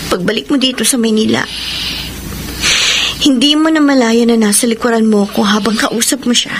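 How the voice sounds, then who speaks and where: A woman's voice: a little high, steady tone, moderate pace, slight background noise, close to an everyday voice. A woman acting a hurt, suspicious young girlfriend in a Filipino radio drama, with earnest, emotional delivery. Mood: hurt, accusing. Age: young adult. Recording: music under the voice.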